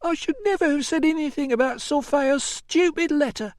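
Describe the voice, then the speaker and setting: A man's voice: very high-pitched, normal speed, no echo, clean studio sound, voice pushed up. A male narrator voicing a distraught, tearful young enslaved mother, wailing and bitter in an audiobook story. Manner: wailing, shrieking, accusatory. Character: distraught young enslaved mother, shrill, bitter, weeping over her son.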